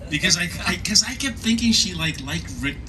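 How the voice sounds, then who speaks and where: A man's voice: sits high, lively intonation, fairly quick, noisy background. A man speaking as a guest on a convention panel, joking and easygoing.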